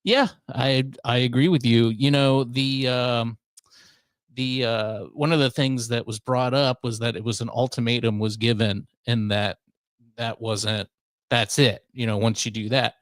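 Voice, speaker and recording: A man's voice: medium pitch, fairly even intonation, unhurried, no room sound. A man hosting a radio show, talking in casual conversation. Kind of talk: casual chat, airing workplace grievances.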